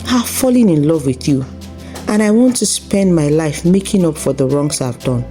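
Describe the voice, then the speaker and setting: An anguished young prince played by a woman narrator speaks earnestly, as if confessing. The voice is mellow and lifts above the storyteller's usual low register, with music underneath. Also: animated, normal speed. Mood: earnest, loving.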